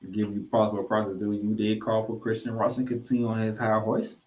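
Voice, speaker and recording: A man's voice: on the low side, monotone. Emphatic male football podcast host. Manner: emphatic, drawn-out delivery with repeated phrases for effect. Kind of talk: football game recap commentary.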